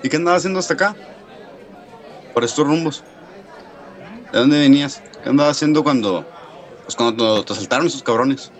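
A male actor plays a practical, considerate engineer. His mid-pitched voice is casual and questioning, as in a radio drama. People murmur in the background. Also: brisk, pauses often, lightly acted. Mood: curious. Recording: café crowd murmur, indoor room.

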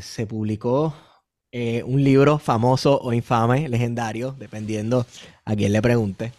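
A young man with a Puerto Rican accent hosting a casual podcast, chatty and relaxed, with many fillers. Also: medium pitch. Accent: Puerto Rican Spanish. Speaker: podcast host.

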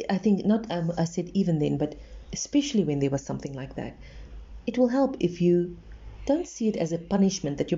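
An earnest woman gives relationship advice in a reassuring tone, repeating her points for emphasis. Her voice is somewhat low and very dark and mellow. The recording is slightly muffled and lo-fi. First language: Afrikaans. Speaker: relationship advisor. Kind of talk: advice monologue.

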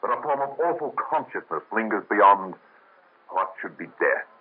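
An actor playing a grave, brooding doctor in revolutionary Paris, in an old radio drama. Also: male voice, lowish voice, animated, breathy edge. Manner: grave, measured, then commanding shouts. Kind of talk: 1930s radio acting. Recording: tinny lo-fi old radio recording with hiss.

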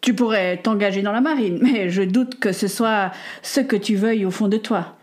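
Woman voicing a fatherly carpenter, gentle, calm, audiobook. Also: medium pitch, moderate pace, natural voice.

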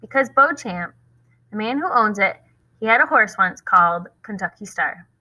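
Woman playing a quiet reluctant boy, polite. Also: medium pitch, animated, normal speed, low-quality recording. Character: quiet withdrawn young boy.

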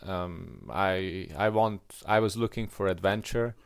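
A man's voice: low-pitched, a little slow, creaky, clean tone, dry recording, studio quality. A young man from Hungary with a Hungarian accent, co-hosting a casual podcast chat and talking reflectively with many restarts. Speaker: podcast co-host. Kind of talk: casual conversation.

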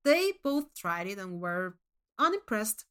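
A woman reading first-person fiction as a scripted narration, in a measured, expressive storytelling manner. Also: medium pitch, clear, resonant tone. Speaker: short story reader.

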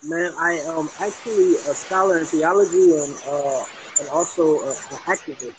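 A man's voice: fairly high pitch, moderate pace, a little hiss or hum, rough recording. A man in a casual chat who talks in an encouraging way and often says "man". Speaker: conversation partner.

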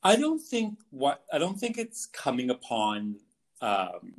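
A young Canadian man, a photographer, answers interview questions in a casual, earnest way, halting often with fillers and restarts. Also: medium pitch, wildly swooping pitch. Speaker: guest photographer.

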